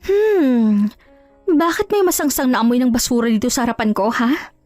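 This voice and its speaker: A woman storyteller acts out a betrayed wife in an audio drama. She is proud and scornful, taunting in a highish voice. The sound is close and dry, with music underneath. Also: normal speed, a little mellow, dry recording, close to an everyday voice. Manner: taunting, sarcastic, mixes in English.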